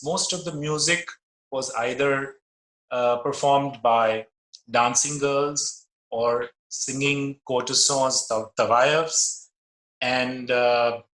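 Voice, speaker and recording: A man with an Indian English accent giving an online lecture as a musicologist, explaining in a measured way with frequent pauses. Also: medium pitch, a bit bright, echoey room, poor audio. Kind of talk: illustrated slide lecture.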